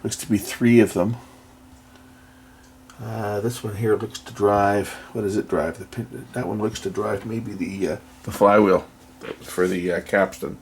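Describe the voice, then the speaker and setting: A man's voice: fairly low pitch, fairly even intonation, unhurried, a little mellow. A man giving unscripted hands-on commentary as a repair video host, thinking aloud and often trailing off or questioning himself. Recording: clear background noise, room ambience.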